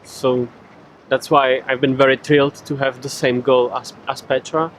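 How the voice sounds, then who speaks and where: A man's voice: medium pitch, level tone, moderate pace, slight background noise, low-quality recording. A man in his twenties with a Czech accent, chatting casually on camera outdoors and stumbling over his words. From Czech Republic. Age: 20s. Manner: hesitant, restarts words often. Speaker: vlogger. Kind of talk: casual chat. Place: outdoors with a city behind.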